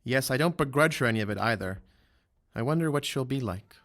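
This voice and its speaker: A man's voice: medium pitch, animated, a little fast, natural voice. A man playing a composed military captain in an audio drama, courteous and understated. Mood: relieved, musing. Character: composed military captain awaiting his wife.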